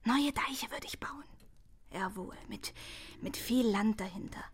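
An actress playing a clever, proud young daughter of a dike master in a radio play, with a teasing manner. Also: female voice, a little high, unhurried, slightly rough. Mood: wistful. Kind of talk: German radio play acting.

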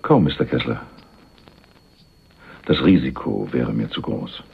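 A hard-boiled crook with a deep, husky voice and lively intonation. He is laconic and sardonic, a tough ex-soldier in a crime radio play, heard through narrow, phone-like sound. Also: unhurried, heavily acted. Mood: sardonic, bargaining. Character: wry, tough male ex-soldier crook bargaining for money. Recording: narrow, muffled 1980s radio drama recording.

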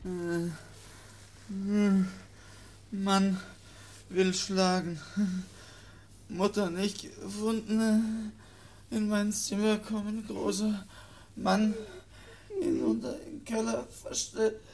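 An actor's portrayal of a wounded, childlike male foundling in a radio drama, weak and distressed, in halting broken phrases. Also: mid-range voice, flat delivery, a little mellow, a little hiss or hum. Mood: dazed. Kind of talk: German radio drama acting.

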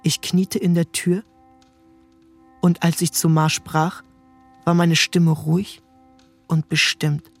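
Troubled narrator, radio drama, somewhat high, calm, faint music under. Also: male voice, slow pace, vocal fry, long pauses, heavily acted. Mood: reflective. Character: troubled young man looking back on his youth. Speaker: first-person narrator.

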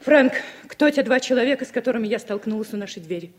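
An actress playing an anxious, insistent wife in a 1960s Soviet radio drama, earnest and pressing in manner. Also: female voice, on the high side, animated, fast talker. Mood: wary, probing. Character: anxious, insistent woman confronting her husband.

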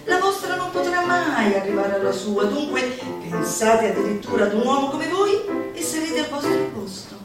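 Woman, stage recitation, declamatory, playful, dramatic pauses, distant and very roomy sound. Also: sits high, animated. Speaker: solo stage performer. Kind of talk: theatrical recitation of a manifesto with audience asides. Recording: very echoey live hall, distant mic, music behind.

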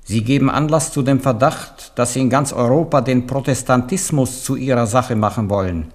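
An actor playing a shrewd, stern old papal legate in a radio play, speaking in a measured, solemn way. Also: male voice, mid-range voice, natural voice. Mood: grave. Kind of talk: 1970s radio play acting.

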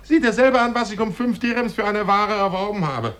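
An actor playing a lazy, naive young merchant's son in a 1950s German radio drama. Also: male voice, high voice, fairly quick, a bit bright. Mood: excited, naive.

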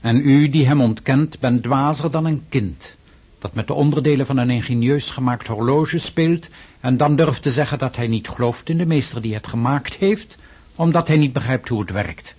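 An actor playing a stern, pious Russian freemason in a radio drama, speaking formally and insistently with a husky voice. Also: male voice, mid-range voice, a little mellow, lightly acted. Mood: solemn, preaching. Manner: formal, measured, preaching, insistent.

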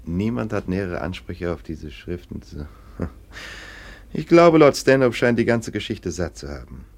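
A domineering police lieutenant in a radio play. The voice is very low and husky and moves in wide, lively swings, full of sardonic, dismissive scorn. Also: male voice, measured pace, heavily acted. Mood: smug, dismissive. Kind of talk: German radio play acting.

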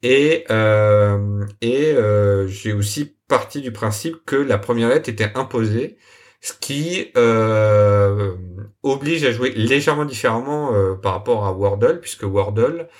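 A young adult man with a somewhat low voice talks through how he built his project. He hesitates a lot, filling gaps with small sounds and restarting his phrases. The tone is relaxed, like a casual interview. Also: unhurried. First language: French. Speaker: software developer guest.

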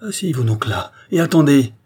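Man acting an indignant, husky-voiced noble count. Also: lowish voice, animated, normal speed, dark tone, pitched above the performer's own voice. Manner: emphatic, formal, insistent. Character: indignant, honourable count, proud and courteous nobleman. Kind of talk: dramatic audiobook character acting.

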